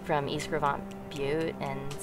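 A woman's voice: deep voice, level tone, slow. A woman talking casually in an interview. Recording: loud music behind. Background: music.

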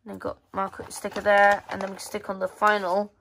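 Casual female read-aloud voice, medium pitch, halting, with offhand muttered asides. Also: completely flat delivery, gravelly, a bit bright, a little hiss or hum, rough recording. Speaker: magazine reviewer. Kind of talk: casual read-aloud walkthrough of a children's magazine. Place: indoors at a tabletop.

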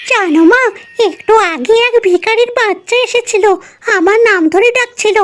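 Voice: extremely high pitch, moderate pace, a bit bright. A performer voicing a rude, spoiled young village daughter who tattles haughtily in a cartoon. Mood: tattling. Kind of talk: cartoon fairy-tale dialogue. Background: music.

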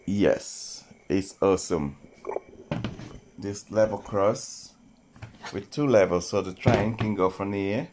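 A man with a fairly low voice talks very slowly and casually to young viewers about his wooden train set. He is recorded on a phone in an indoor playroom, with faint background hiss and toys clicking as he handles them. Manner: slow, unhurried, talking to children viewers. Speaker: toy train channel host. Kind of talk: casual toy showcase narration. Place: indoor playroom with shelves and toy train table.

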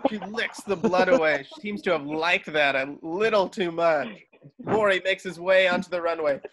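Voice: mid-range voice, normal speed, fairly bright tone, rough recording. A narrator reading screenplay stage directions for a table read over a video call.

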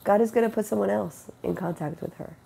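A middle-aged woman with a cold, her voice deeply gravelly, husky and dark. She speaks slowly and earnestly, sharing faith testimony into a wired earbud mic in a sunlit bedroom. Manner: earnest, unhurried, quotes God in conversation. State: has a cold, sniffling and rough-voiced. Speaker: faith vlogger. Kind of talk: casual spiritual testimony. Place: bedroom with bright window, indoors. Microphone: wired earbud mic, webcam close-up.

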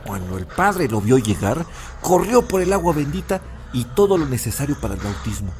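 A man's voice: mid-range voice, very animated, normal speed, a little mellow, a little hiss or hum, lightly acted. An actor playing an old grandfather who narrates an eerie legend with suspense in an audio drama. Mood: suspenseful.

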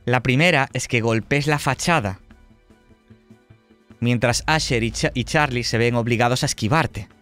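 A man's voice: medium pitch, normal speed, fairly bright tone, faint music behind. A man running a tabletop horror roleplaying game, narrating in a dramatic, suspenseful way. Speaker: game master.

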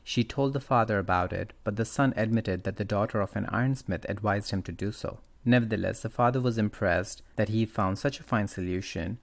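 Male podcast host, low and gravelly, moving between storytelling and casual discussion. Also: fairly quick, hardly any pauses.

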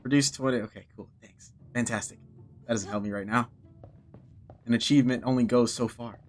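A man's voice: mid-range voice, steady tone, fairly quick, soft background music. A man in his thirties commenting on a game as he plays, joking and swearing casually. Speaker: gaming streamer. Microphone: headset.

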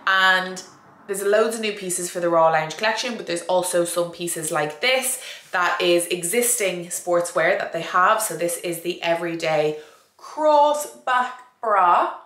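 A woman's voice: lowish voice, unhurried, fairly bright tone, roomy sound. Stuffy-nosed young woman chatting to camera. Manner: chatty, repeats words for emphasis. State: congested, nasal from a cold. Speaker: vlogger and online fitness coach. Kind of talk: casual vlog chat to camera. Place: small indoor room with a door behind.

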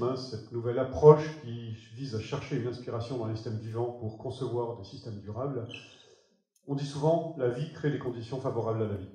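A man's voice: medium pitch, mellow. A man in his forties with a French accent, giving an earnest, emphatic conference keynote on a large theater stage through a headset mic. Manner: earnest, emphatic, moving around the stage and gesturing. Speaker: keynote speaker. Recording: roomy hall sound.

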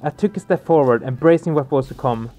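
A man's voice: fairly high pitch, fairly quick, mellow. A man hosting a game let's play, expressively reading the story aloud and reacting to it.

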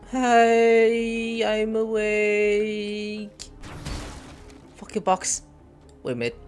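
A woman's voice: mid-range voice, drawn-out words, a little mellow, soft background music. A woman casually commenting while playing a video game, playful and jokey, talking to herself. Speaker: streamer.

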